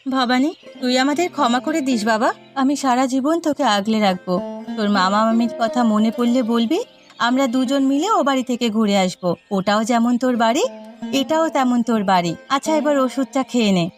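A woman's voice: a little high, brisk, faint music behind, close to an everyday voice. A woman voicing an anguished, tender village mother with pleading, emotional delivery in a melodramatic moral-story cartoon. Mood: tender, worried.

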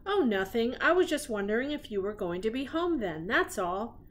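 A woman's voice: a little high, sing-song, normal speed. A woman voicing a friendly, innocent big bird puppet making a casual phone call in a storybook. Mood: friendly, coy.

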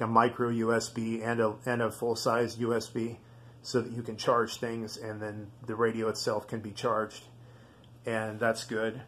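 A man giving a casual product demonstration in a halting, rambling, easygoing way. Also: mid-range voice, fairly bright tone. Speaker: video host.

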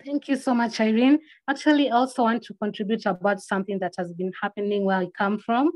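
A woman's voice: medium pitch, fairly even intonation, fast talker, darkish tone, poor audio. A woman from western Kenya with a Kenyan accent, hosting an online discussion. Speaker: host, moderator. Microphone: video call.

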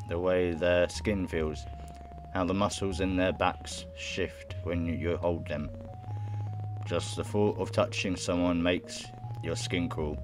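Low-voiced young man over game music. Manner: stumbles over words while reading. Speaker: gaming video host. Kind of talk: casual let's play, reading game text aloud with reactions. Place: small room at home, indoors.

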